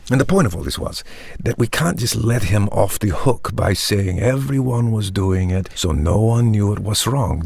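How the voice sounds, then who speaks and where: Polished vampire startup founder in audio drama: low-leaning gravelly voice, measured and articulate, forever rationalizing. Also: male voice, no echo, heavily acted. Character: polished, self-justifying vampire tech entrepreneur. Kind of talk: theatrical monologue in the manner of a startup pitch.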